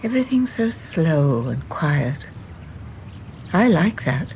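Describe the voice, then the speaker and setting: A genteel English widow in a radio play, composed yet wistful and frank. Her measured voice has a noticeable creak and a lively melody, with faint rumble behind it. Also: heavily acted, poor audio. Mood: candid, curious. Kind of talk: British stage-play radio drama acting.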